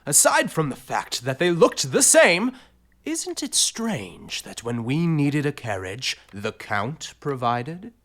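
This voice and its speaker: Casting: suspicious young 1840s gentleman, audio drama; insistent, emphatic, unhurried, very animated intonation. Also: fairly high pitch, exaggerated voice. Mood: pressing suspicion. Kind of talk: period audio drama acting.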